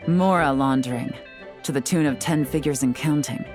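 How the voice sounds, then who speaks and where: A woman's voice: extremely low pitch, normal speed, music in the background. An actress playing a cool, composed woman investigator in a video game, articulate, wry and sly in a businesslike way. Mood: cool, explanatory. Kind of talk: scripted video game dialogue.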